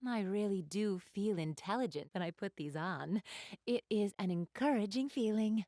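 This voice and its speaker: A woman's voice: mid-range voice, animated, normal speed, warm tone, no room sound, clean studio sound, lightly acted. A woman playing an elegant, doting big-sister goddess in a video game, speaking in polite, formal, refined phrases straight to the listener. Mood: gentle, poised. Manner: polite, formal, refined diction, gushing over what she adores. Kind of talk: video game character acting, addressing the listener.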